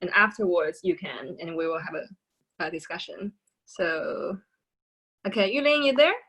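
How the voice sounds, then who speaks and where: A woman's voice: on the low side, lively intonation, unhurried, fairly bright tone, breathy edge, poor audio. A woman who coaches the performers, hosting a show over a video call in a friendly, practical way. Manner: friendly, practical, with fillers and laughs. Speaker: host and coach.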